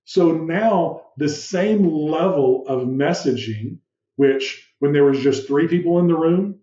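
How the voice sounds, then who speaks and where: A man, a podcast guest and business founder, explaining ideas in an animated way with rhetorical questions and a big, commanding voice. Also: a little high, slow, reverberant, dull audio. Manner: animated, uses vivid room analogies and rhetorical questions; describes his own voice as big and commanding. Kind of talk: explanatory interview talk.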